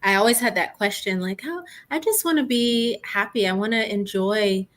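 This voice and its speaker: A woman speaking earnestly in an online chat. Her pitch is middle, and her talk is loose and full of filler words. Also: moderate pace, fairly bright tone. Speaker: co-author guest. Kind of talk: casual livestream conversation.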